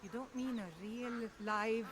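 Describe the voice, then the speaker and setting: A woman playing a firm, plain-spoken, hospitable village mother in a video game, with loud noise behind her. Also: fairly high pitch, takes it slowly. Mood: alarmed. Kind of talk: video game dialogue acting.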